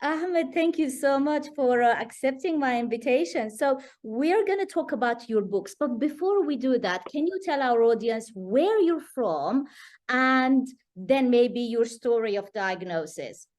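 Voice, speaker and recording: A woman hosting a casual interview, speaking in a measured way and slowing down on key phrases. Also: sits high, animated, a little mellow. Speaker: host.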